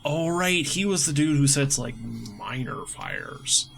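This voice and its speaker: A man's voice: medium pitch, big pitch swings, slow pace, gravelly, over music. A man giving casual, jokey let's play commentary, thinking aloud as he reads game dialogue. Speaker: gaming video host.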